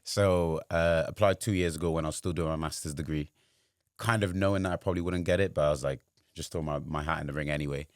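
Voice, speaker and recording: A man's voice: rumbling low, steady tone, fast, dry recording, studio quality. A man, a guest telling his career story in casual chat, rambling with lots of fillers. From Wales. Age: 20s. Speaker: guest, sports journalist.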